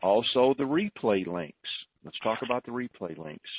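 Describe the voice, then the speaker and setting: A man hosting a conference call, giving informal announcements in a deliberate, plain-spoken manner over a telephone. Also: mid-range voice, animated, slight background noise. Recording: narrowband telephone conference line.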